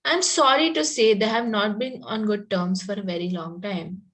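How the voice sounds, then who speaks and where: A woman's voice: medium pitch, normal speed, fairly bright tone, close to an everyday voice. A woman reading the part of a sweetly polite but spirited young country girl in a Victorian stage comedy, pointed in manner and stumbling a little over lines. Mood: sweetly confiding. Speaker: table-read participant.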